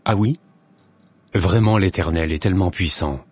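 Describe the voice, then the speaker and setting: A man playing a sincere, devout young suitor in an animated religious story, speaking in earnest, formal phrases. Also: sits low, moderate pace, breathy edge. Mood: friendly, earnest.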